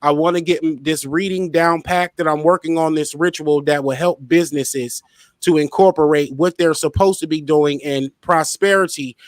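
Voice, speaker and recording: A man's voice: fairly high pitch, fairly even intonation, moderate pace, no room sound. An outspoken, playful man hosting a casual interview and talking about himself. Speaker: interviewer, show host.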